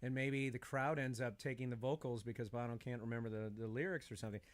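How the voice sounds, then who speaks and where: A man with a mid-pitched voice talks casually, as in a podcast conversation. He is animated and emphatic, often restating a phrase to drive his point home. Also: fast, no echo. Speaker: podcast speaker. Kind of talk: casual chat about concerts as a fan.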